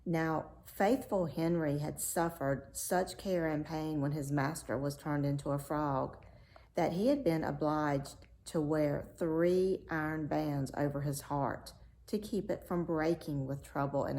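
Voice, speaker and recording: Female read-aloud narrator: slow, expressive storybook delivery, lowish pitch. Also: a little hiss or hum.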